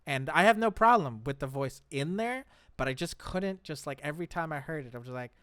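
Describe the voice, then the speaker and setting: Animated man with a bright, dry-recorded voice. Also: a little high, fairly quick, smooth, no echo. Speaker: gaming show host. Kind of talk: casual panel chat.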